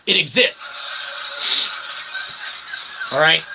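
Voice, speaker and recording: A man's voice: on the high side, animated, extremely slow, gravelly, background noise. A man giving a casual, rambling monologue in a folksy, irreverent way, full of slang and frequent check-ins with the listener. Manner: folksy, repeatedly checking in with "okay" and "all right"; irreverent, slangy, mock-grand wording. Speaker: independent researcher and vlogger.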